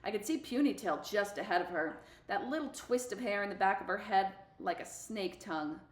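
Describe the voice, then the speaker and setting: A woman's voice: mid-range voice, lively intonation, brisk. A woman reading a novel aloud expressively for middle schoolers. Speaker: book reader for middle schoolers. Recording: echoey room.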